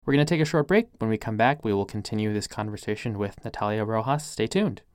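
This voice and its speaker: A man hosting a podcast interview, thinking out loud as he phrases his questions. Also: on the low side, fast, no room sound, studio quality. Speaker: podcast host. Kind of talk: relaxed interviewing.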